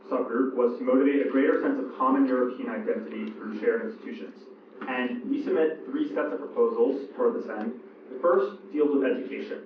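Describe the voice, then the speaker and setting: A student presenter, a man, reading out his group's proposals. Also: medium pitch. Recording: echoey hall from far off, tinny with noise.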